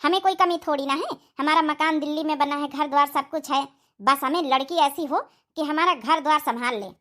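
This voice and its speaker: A proud city mother of a groom in a cartoon comedy, played by a woman. Her voice is very high and bright. She sounds boastful and businesslike. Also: a little fast.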